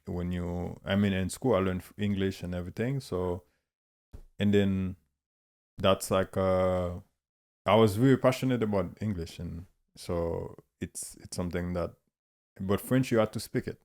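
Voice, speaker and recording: Very deep-voiced man. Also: a little mellow. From Haiti. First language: Haitian Creole. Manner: halting, searching for words. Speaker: guest artist. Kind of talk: casual conversation.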